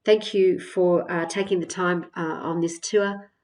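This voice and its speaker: A woman with a lowish voice narrates a software walkthrough. She explains each step in a measured, semi-scripted way, often pausing on small hesitations as she goes. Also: steady tone. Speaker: software tutorial presenter.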